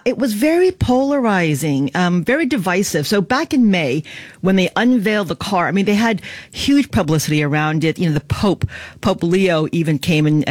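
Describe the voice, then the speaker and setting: A woman's voice: on the low side, no echo. Woman business correspondent, dry studio sound. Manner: steady explanatory delivery with fillers. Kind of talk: live news report.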